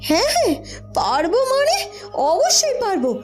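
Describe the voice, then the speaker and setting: Shrill-high, jealous young villainess. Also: female voice, wildly swooping pitch, normal speed, soft background music, heavily acted. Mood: jealous, determined. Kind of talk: Bengali cartoon voice acting.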